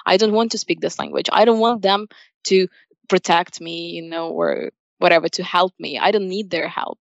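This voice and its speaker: Young ukrainian lady, native russian speaker, bit of vocal fry, earnest interview answers, slightly muffled dry recording. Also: lowish voice, lively intonation, moderate pace, no room sound. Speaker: interview guest. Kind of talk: spoken interview answers, personal opinion.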